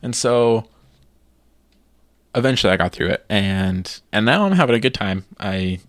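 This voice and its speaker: Low-voiced man telling animated gaming stories. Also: normal speed, no room sound, clean studio sound. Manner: animated storyteller who acts out his own reactions. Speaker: podcast co-host. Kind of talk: casual gaming podcast chat.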